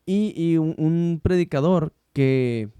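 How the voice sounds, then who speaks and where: A man's voice: a little high, slow, warm tone, clear, resonant tone, no room sound. A man hosting a casual faith podcast, chatty and slangy, with many restarts. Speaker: podcast host.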